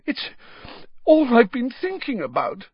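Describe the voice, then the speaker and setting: A shrill, tearful mother, frantic with worry and breathless with relief, as a male reader puts her on. The voice climbs high above his own and swings widely. It sounds dull and dry, like a muffled home recording. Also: wildly swooping pitch, a little slow, husky, no echo, heavily acted. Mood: sobbing. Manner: shrill, sobbing, breathless outbursts. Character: frantic middle-aged mother, tearful and doting. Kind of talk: audiobook character voice.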